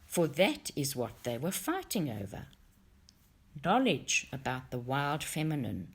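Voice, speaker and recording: A woman narrates a book aloud in a low voice. Her intonation swings widely, and she places deliberate, expressive emphasis on key words as she reads. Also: husky. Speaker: audiobook reader for a study group.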